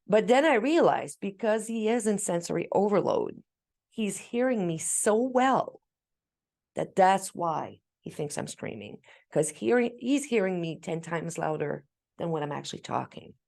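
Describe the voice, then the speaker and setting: A middle-aged woman chatting casually as a co-host, telling stories in an animated, emphatic way and acting out what people said. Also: medium pitch, unhurried, creaky. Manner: animated storyteller, acts out quoted exchanges; talkative, emphatic, self-described loud speaker. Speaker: parent sharing parenting tips as co-host. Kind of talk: casual conversational talk.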